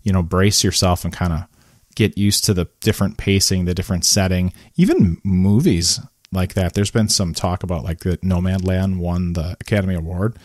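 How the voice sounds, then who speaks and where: A man's voice: deep voice, moderate pace, a little mellow, no room sound, clean studio sound. A man co-hosting a podcast, chatting casually and laughing as he rambles through his thoughts. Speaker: podcast co-host. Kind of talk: casual chat. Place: home basement.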